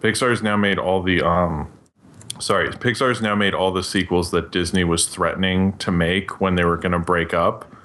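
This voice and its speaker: Male podcast panelist, deep register, conversational and halting, thinking aloud. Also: fairly even intonation, a bit bright, a little hiss or hum. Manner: hesitant, trails off mid-thought. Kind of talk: casual panel chat about films.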